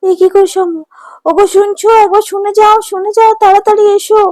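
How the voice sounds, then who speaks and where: Woman as an astonished, high-voiced village wife. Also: steady tone, fairly quick, darkish tone. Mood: astonished, urgent. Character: fisherman's devout, easily astonished village wife and mother. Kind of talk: cartoon voice acting.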